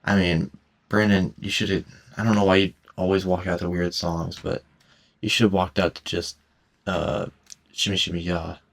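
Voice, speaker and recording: A young man in his late teens rambling casually at home over gameplay, halting and self-conscious, with frequent restarts and stutters. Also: low-pitched, flat delivery, vocal fry. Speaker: gaming commentator. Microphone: cheap headset mic.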